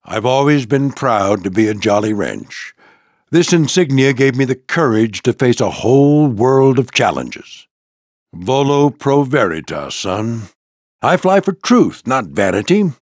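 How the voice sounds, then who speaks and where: An older American man voicing a proud, gruff old military plane who mentors a squadron in a video game, with a gravelly voice and a commanding, folksy manner. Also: mid-range voice, big pitch swings, a little slow, no room sound, clean studio sound. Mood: proud, stern. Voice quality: gravelly, husky. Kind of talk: scripted video game character lines.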